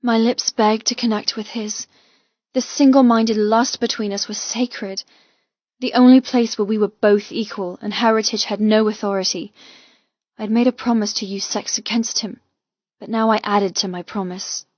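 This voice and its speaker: A woman reading a first-person romance audiobook with intense, expressive, dramatic delivery. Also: mid-range voice, normal speed, no echo, lo-fi sound. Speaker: audiobook narrator.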